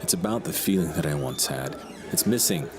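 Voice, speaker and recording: An actor playing a jaded, egotistical horror writer in an audio drama, with a wordy, pompous, theatrical way of talking. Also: male voice, rumbling low, animated, fairly quick, over music. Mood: despairing.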